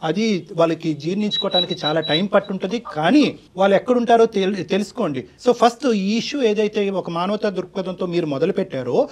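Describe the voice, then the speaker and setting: Fast, high-pitched man counselling. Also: expressive, a little hiss or hum. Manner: emphatic, long-winded, mixes in English phrases. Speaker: counsellor on a talk show. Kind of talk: earnest counselling advice.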